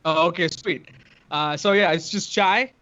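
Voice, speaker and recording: Sri Lankan man, Tamil first language, slightly high voice, halting laughing chat, muffled stream audio. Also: bright, forceful tone. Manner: laughing, halting, many restarts. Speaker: guest. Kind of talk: casual livestream chat.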